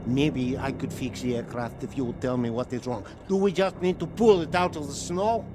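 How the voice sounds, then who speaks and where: An actor playing a polite, resigned Soviet engineer in a game, with a Russian accent and halting English. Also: male voice, mid-range voice, background noise, natural voice. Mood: practical, urgent. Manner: halting, sparse non-native English phrasing. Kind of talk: naturalistic video game drama acting. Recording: loud music behind dialogue.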